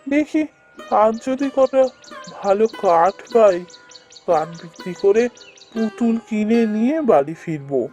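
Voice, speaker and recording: An actor playing a poor, kindly woodcutter father in a cartoon, speaking in a gentle, plaintive, musing way. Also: medium pitch, expressive, unhurried, faint music behind, close to an everyday voice. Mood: weary, worried. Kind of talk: cartoon voice acting.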